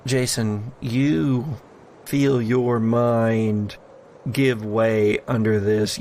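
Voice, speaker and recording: A man runs a horror tabletop game as game master, speaking slowly with a creaky edge. His storytelling is dramatic and deliberate as he addresses the players. There is faint noise in the recording. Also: mid-range voice.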